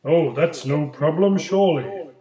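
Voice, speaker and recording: A man playing an older male Chilean migrant who tells his story in a plain, steady way, in a school project role-play interview. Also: on the high side, measured pace, echoey room, lightly acted, rough recording. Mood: calm.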